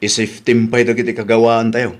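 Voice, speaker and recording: A man's voice: mid-range voice, little pitch movement, fast talker, close to an everyday voice. Male actor as practical husband, Ilocano accent, grumbling and instructing, everyday domestic radio comedy. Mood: wary, practical. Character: wary, practical husband guarding household things.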